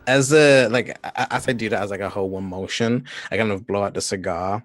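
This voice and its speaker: A man's voice: on the low side, quick pace, no room sound. A man playing a tabletop game, hesitant with many fillers as he describes his character's actions. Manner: hesitant, lots of fillers before acting in character. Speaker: player. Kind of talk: casual tabletop play.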